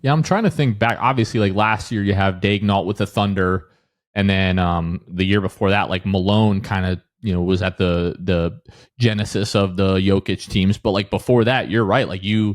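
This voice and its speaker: A man's voice: low-pitched, steady tone, normal speed, dry recording, studio quality. A man hosting a sports podcast in casual, informal chat, often dropping "like" into his sentences. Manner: informal, peppers talk with "like". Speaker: podcast host.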